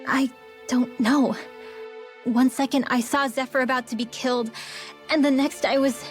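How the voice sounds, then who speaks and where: A woman's voice: high-pitched, fairly even intonation, normal speed, lightly acted. A woman playing a guarded, earnest young villager with secret powers in a video game. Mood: troubled. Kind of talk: video game cutscene acting. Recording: music behind.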